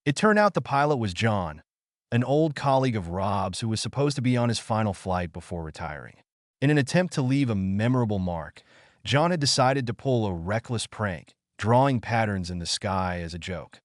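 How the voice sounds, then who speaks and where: A man's voice: lowish voice, animated, moderate pace, dry recording, clean studio sound. A man reading a scripted story in a dramatic, suspenseful narrator's style.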